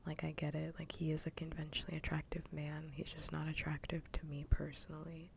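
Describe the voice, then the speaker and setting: A woman streamer chatting casually in a soft, breathy whisper, rambling along in ASMR style on a livestream. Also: low-pitched, completely flat delivery, a little fast, slight background noise. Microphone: close ASMR microphone.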